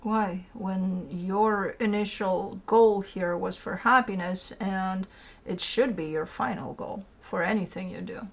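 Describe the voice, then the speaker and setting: A woman's voice: medium pitch, fairly even intonation, takes it slowly, gravelly. A woman hosting a tarot card reading, talking in an informal, rambling and emphatic way, repeating phrases and putting rhetorical questions to the listener. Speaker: tarot reader hosting her own show.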